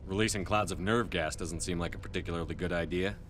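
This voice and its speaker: An actor playing a stoic, decisive military squad leader in a video game, with measured authority. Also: male voice, sits low, steady tone, a bit bright, a little hiss or hum. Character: stoic, commanding male space soldier, decisive squad leader. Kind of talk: dramatic video game acting.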